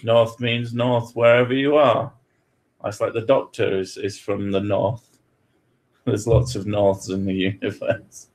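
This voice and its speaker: A man's voice: fairly low pitch, flat delivery, measured pace, darkish tone. A man from Britain chatting casually as host, joking, swearing freely and laughing often. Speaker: podcast host answering questions. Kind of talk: casual chat.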